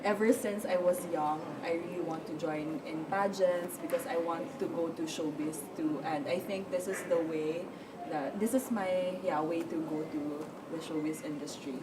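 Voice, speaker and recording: A young Filipino woman speaks earnestly and a little haltingly, with a lowish voice. She sounds set back from the mic, and steady noise hangs behind her. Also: far from the mic. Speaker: pageant winner. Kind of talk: interview answers.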